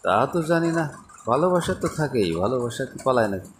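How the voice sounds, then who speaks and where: A man's voice: medium pitch, very animated, quick pace. A man with a Bangladeshi accent in an intimate, casual phone chat, speaking in a coaxing manner. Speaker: caller. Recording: faint noise, clicks, phone call.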